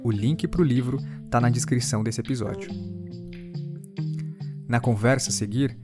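A man's voice: mid-range voice, normal speed, over music. A man with a Brazilian Portuguese accent hosting a podcast, introducing and interviewing.

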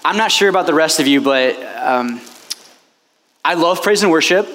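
A man's voice: fairly high pitch, animated, normal speed, a bit bright. A man preaching as a priest to a retreat crowd, impassioned and chatty, with many fillers. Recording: long hall reverb, faint background noise.

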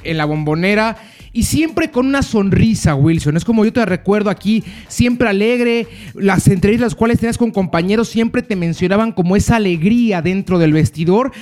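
A man with a Mexican accent, interviewing a guest in a conversational sports talk. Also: high voice.

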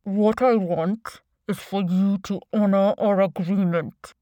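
A woman voicing a faceless, thick-set male crime boss with a deep, distorted voice and slow, mock-courteous menace. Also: steady tone, warm tone, no echo, dull audio, close to an everyday voice. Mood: menacingly polite.

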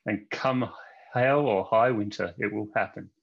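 A man's voice: lowish voice, measured pace, vocal fry, slightly rough, muffled recording. A man reading comic fan fiction verse aloud like a mock-romantic storyteller. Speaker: podcast co-host.

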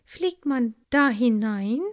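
A woman voicing a little young female bee who is eager and dazzled, speaking in high excited exclamations. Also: slow. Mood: amazed.

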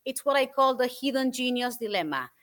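A woman in her forties from Argentina, guest on an interview show, telling stories in an animated, thoughtful way with many fillers. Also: sits high, fairly quick. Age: 40s. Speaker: guest speaker. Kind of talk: interview answers, storytelling.

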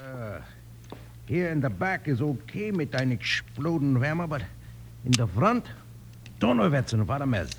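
An actor playing a flustered German immigrant gunsmith in an old radio western, with a thick German accent and garbled half-English speech. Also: male voice, mid-range voice, big pitch swings, normal speed, long pauses. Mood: flustered, puzzled. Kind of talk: old-time radio comedy western acting. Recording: noisy vintage radio recording with clicks.

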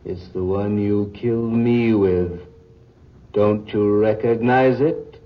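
An actor playing the vengeful ghost of a murdered man in 1940s radio horror style, speaking in a deliberate, taunting and ominous way. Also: lowish voice. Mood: menacing. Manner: slow, deliberate, ominous delivery. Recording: dull old 1940s radio recording, music behind.

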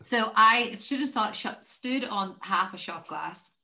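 A woman with a deep voice hosting a podcast, chatting casually and telling rambling stories that keep interrupting themselves. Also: expressive, takes it slowly, sharp tone. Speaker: podcast host. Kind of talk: casual chat.

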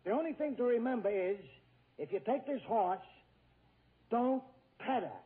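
A man's voice: very high voice, expressive, moderate pace. A man playing a folksy, plain-spoken stable keeper in a 1940s radio comedy, colloquial and reassuring. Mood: reassuring, insistent. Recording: muffled vintage 1940s radio recording.

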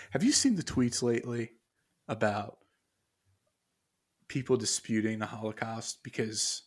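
A man with a fairly low voice talks in a loose, rambling way, thinking out loud. He pauses on fillers and restarts his sentences while working through his notes. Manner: muses aloud while reading from notes. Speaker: podcast co-host. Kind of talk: casual podcast chat.

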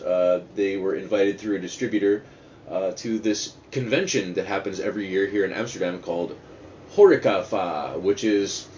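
Low-voiced man chatting, dull lo-fi sound. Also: darkish tone. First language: not Dutch, speaks English fluently. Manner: sweary, self-mocking, digressive. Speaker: podcast host. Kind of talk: casual rambling solo podcast chat. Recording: dull lo-fi sound with faint noise.

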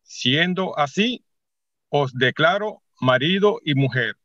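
An actor playing a solemn priest officiating a church wedding in a radio drama, in measured, ceremonial speech. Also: male voice, on the high side, expressive, fairly bright tone, no room sound, lo-fi sound.

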